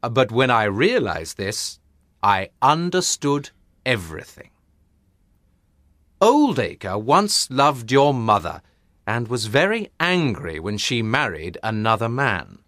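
A man doing a calm, measured detective. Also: mid-range voice, no room sound, voice pushed up. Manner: quiet, composed, explaining. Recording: dry close studio sound.

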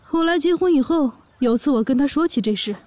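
Woman playing brash, high-voiced orc warrior big sister. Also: animated, fairly quick, dark tone, no room sound. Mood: nostalgic, boastful. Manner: sassy, blunt, bossy. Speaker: voice actor in a fan audio drama. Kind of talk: audio drama acting and narration.

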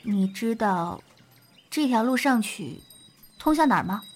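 A woman's voice: a little high, lively intonation, unhurried, breathy edge, soft background music. A woman playing a jealous, possessive schoolgirl in an audio drama, with an emotional, dramatic delivery. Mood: hesitant, wistful. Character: jealous, possessive schoolgirl hurt by her closest friend. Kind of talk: Chinese audio drama acting.

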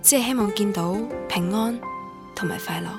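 A woman's voice: medium pitch, normal speed, over music, natural voice. An actress playing a lonely young woman student, narrating her memories in Cantonese radio drama in a wistful, literary manner. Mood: wistful, reflective. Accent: Cantonese from Guangdong.

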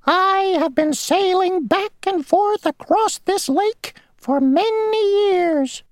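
A woman voicing a tiny, pompous male mosquito ferryman who talks slowly and insists on rules. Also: very high voice, dry recording, pitched above the performer's own voice. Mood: self-important. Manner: slow, rule-bound, boastful.

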